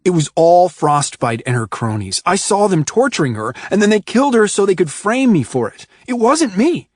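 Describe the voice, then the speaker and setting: A woman voicing a guilty young superhero man who apologizes softly and earnestly. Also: lowish voice, big pitch swings, moderate pace, breathy edge, no echo, clean studio sound, voice pushed up.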